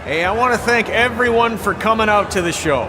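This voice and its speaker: Man playing a high-voiced veteran wrestling trainer. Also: a little fast, very noisy, lightly acted. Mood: warm, teasing. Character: self-deprecating veteran male wrestling trainer, dry and candid. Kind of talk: video game cutscene acting.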